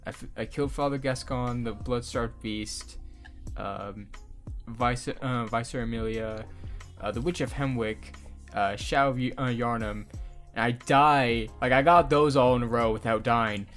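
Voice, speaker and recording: Animated young man, music playing behind him. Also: mid-range voice, a little slow. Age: young adult. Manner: animated, laughing, informal with swearing. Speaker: podcast co-host and hobby voice actor. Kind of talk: casual podcast banter.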